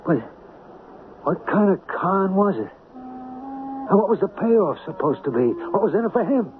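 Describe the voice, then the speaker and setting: An actor playing a stubborn, doubting small-time hustler with an American accent and slangy talk, in an old-time radio drama. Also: male voice, high-pitched, very animated, normal speed. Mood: musing, wary. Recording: tinny, noisy 1970s radio broadcast.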